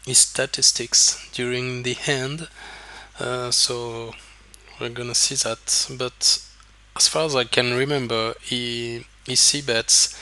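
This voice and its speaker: A French-accented man whose first language is French speaks slowly, taking his time over each point. His voice has a bright, forceful edge. He walks through poker decisions in a halting, thinking-aloud way and often repeats words as he goes. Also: mid-range voice. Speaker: poker coach. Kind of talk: explaining hands over screen recording.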